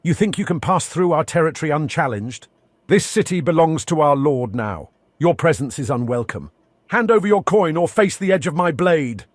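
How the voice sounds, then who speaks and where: A man's voice: fairly high pitch, expressive, moderate pace, no echo. A man voicing a menacing, commanding guard who threatens strangers in scripted fantasy video game dialogue. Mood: stern, threatening.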